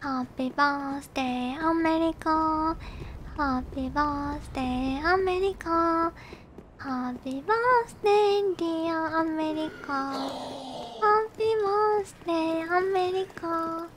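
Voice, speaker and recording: A woman's voice: very high voice, slow, a little hiss or hum. A woman from Japan with a Japanese accent, chatting casually and politely with viewers as she livestreams a game. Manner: polite, thanks and greets viewers often. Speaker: game streamer. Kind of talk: casual livestream chat while gaming.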